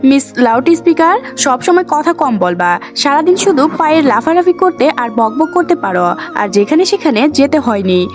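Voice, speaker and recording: A woman's voice: high-pitched, big pitch swings, moderate pace, soft background music. A woman voicing a stern, irritable young male college teacher who scolds curtly in an audio story. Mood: angry. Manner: curt, scolding.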